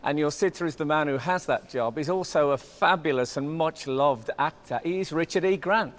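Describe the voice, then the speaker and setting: A man in his fifties hosts with playful, chatty banter, his voice sitting a little high for a man. He teases and jokes with the people around him. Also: normal speed. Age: 50s. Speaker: host. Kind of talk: casual chat.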